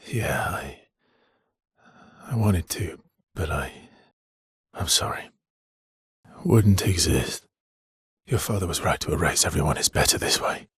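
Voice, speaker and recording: An actor playing a weary, gentle, guilt-ridden expedition guide in a dramatic video game, with a husky, breathy, hushed and halting delivery. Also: male voice, low voice, dry recording, studio quality, full character voice. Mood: sorrowful, hesitant. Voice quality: husky, breathy, often near a whisper.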